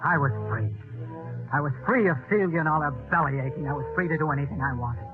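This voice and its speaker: A man's voice: on the high side, animated, fast talker, natural voice. An actor playing a cold, scheming, nervous man who narrates his own crime in 1940s radio style. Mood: calculating. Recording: tinny, band-limited old radio recording, music behind.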